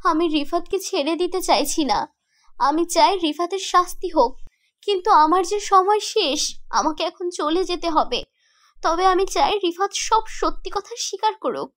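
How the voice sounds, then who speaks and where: A woman's voice: extremely high pitch, expressive, normal speed, a bit bright. A woman voicing the vengeful ghost of a betrayed young woman in a horror cartoon, accusing and emphatic. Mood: urgent, sorrowful. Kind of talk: dramatic horror cartoon acting.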